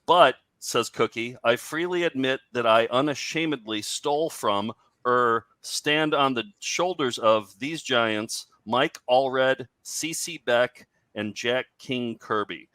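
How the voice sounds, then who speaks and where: A man hosting a comedy show, chatting casually with a group in an excitable, jokey way. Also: mid-range voice, takes it slowly, hardly any pauses, no room sound. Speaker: host of a cartoon comedy show.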